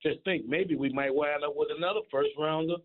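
A man's voice: fairly high pitch, fairly quick. A man calling in to sports radio, giving casual fan opinions over the phone. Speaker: radio call-in guest. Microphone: phone call. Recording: thin, narrow-band phone line.

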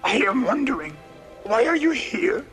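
An actor playing a small, wise, teasing old creature who speaks in inverted, playful questions. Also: male voice, very high voice, sing-song, fairly bright tone, full character voice. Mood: playful, curious. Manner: inverted word order, playful drawn-out questioning. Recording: music behind the voice.

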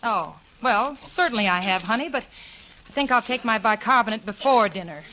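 High-voiced, sardonic young hostess. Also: female voice, lively intonation, normal speed, sharp tone. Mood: nervous, fretful. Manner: wry, fretful. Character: exasperated, sardonic young working woman, nervous hostess. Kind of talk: 1940s radio sitcom acting and narration. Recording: noisy old 1940s radio recording.

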